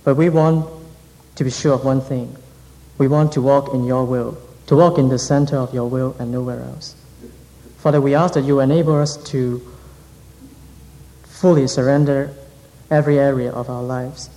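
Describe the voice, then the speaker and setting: A man leading a congregation in earnest, measured prayer. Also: medium pitch, steady tone, mellow. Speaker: interpreter and prayer leader. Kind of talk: interpreting a sermon, then leading prayer. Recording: reverberant hall.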